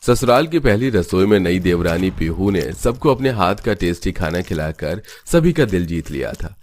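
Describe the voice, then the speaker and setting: A man's voice: low voice, very animated, normal speed, a little mellow, slight background noise. A man giving scripted narration for a cartoon family story.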